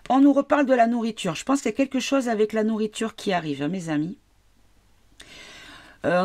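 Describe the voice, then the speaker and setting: A woman's voice: mid-range voice, a little mellow. A woman with a cold, reading tarot cards for her viewers in a casual, hesitant way, often asking the cards questions aloud. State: has a cold. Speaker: tarot card reader.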